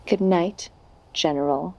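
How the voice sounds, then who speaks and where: An actress playing a cool, steely, composed sister in a period audio drama. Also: female voice, on the low side, slow pace, warm tone, lo-fi sound. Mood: coolly defiant. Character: cool, steely, protective sister in 1800s north.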